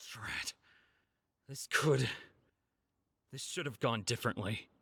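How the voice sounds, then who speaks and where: A man voicing a boastful, excitable thief in an anime game, dramatic and easily exasperated. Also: medium pitch, drawn-out words, creaky, husky, no echo, studio quality, full character voice. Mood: defeated, bitter. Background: background music.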